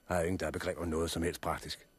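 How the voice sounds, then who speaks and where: A man's voice: deep voice, flat delivery, slightly rough, no echo. A man acting in a 1960s radio drama as a blunt, sardonic, swearing survivor of a catastrophe. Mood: scolding. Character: blunt, cynical, swearing male survivor of a plant apocalypse.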